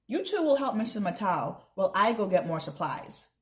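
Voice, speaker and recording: A woman's voice: medium pitch, sing-song, moderate pace, natural voice. Woman reading as a coaxing, firm mother. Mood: firm, businesslike. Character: encouraging, firm mother of twins in a storybook.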